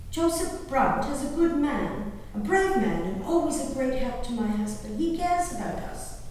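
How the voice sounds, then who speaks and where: Woman performing a high-voiced colonial gentlewoman, echoey hall. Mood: sorrowful, bitter. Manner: theatrical, earnest, emotive, formal diction. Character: grieving, nature-loving 18th-century colonial gentlewoman reading her diary. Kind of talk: dramatic stage monologue reading of a diary. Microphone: distant room microphone. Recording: very echoey hall, distant mic, background hiss.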